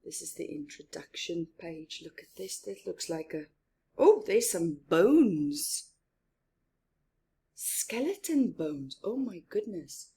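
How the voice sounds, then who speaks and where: A woman hosting a children's story time, reading a picture book aloud and explaining it simply with deliberate emphasis. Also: fairly low pitch, lively intonation, mellow, breathy edge. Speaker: storytime reader and teacher.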